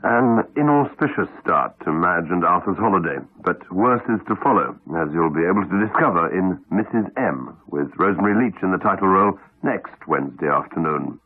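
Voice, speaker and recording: A British man making scripted radio continuity announcements in a measured, formal way. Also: low voice, lively intonation. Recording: dull 1980s radio broadcast, dry, faint music.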